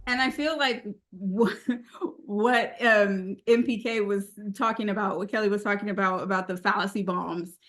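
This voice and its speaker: A woman on a discussion panel, thinking through an argument aloud in a casual, halting way. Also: medium pitch, fairly bright tone.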